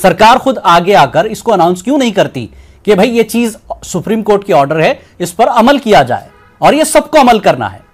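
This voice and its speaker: A man's voice: high-pitched, very animated, moderate pace, husky. A male TV news anchor with an Indian accent moderating a debate and pressing guests with questions. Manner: probing, prompting with short interjections.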